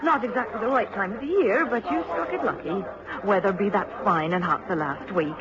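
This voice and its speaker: A woman's voice: mid-range voice, brisk, a crowd in the background, close to an everyday voice. A woman playing a forthright, motherly inn landlady, chatty and folksy, in a radio drama. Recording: dull radio recording, crowded pub behind.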